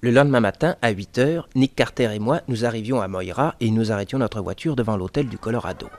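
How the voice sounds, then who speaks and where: An actor playing a detective's assistant, a composed storyteller narrating a radio adventure serial. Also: male voice, on the low side, fast talker, a little mellow, close to an everyday voice. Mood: measured, suspenseful.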